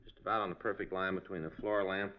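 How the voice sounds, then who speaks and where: A man's voice: sits low, level tone, fast, lightly acted. An American man playing an easygoing, plain-spoken police detective in a 1950s radio drama. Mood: plain, helpful. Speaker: detective's partner. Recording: muffled 1950s radio recording.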